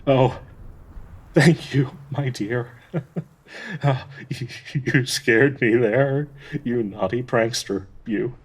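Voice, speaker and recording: A man playing a gentle, devoted dryad tree spirit in an intimate audio roleplay, anxiously hopeful, halting and stammering tenderly with nervous soft laughs in a breathy voice. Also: medium pitch. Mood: relieved, teasing.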